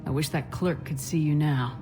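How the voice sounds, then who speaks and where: A determined female commander from a video game, played by an actress. Her voice sits a little low and stays firm and controlled. Clear music plays behind the dialogue. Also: moderate pace, natural voice. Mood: calm concern. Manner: calm, firm, resolute. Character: determined female military commander, steady and supportive. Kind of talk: video game cutscene acting.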